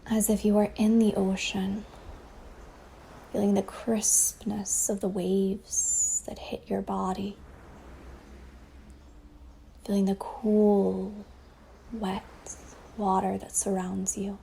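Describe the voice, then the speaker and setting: A woman leading a guided meditation as a teacher, speaking softly and breathily in a soothing way with long pauses, over ocean waves. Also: medium pitch, flat delivery, gravelly, rough recording. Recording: faint ambient wave noise behind voice. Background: ocean waves sound effect.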